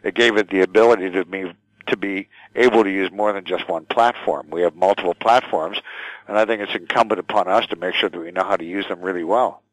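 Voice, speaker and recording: Older man, low pitch, dry close sound, halting conversational delivery, guest answering questions. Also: fairly even intonation, dry recording, poor audio. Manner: halting, thoughtful, repeats words. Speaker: radio station general manager, interview guest.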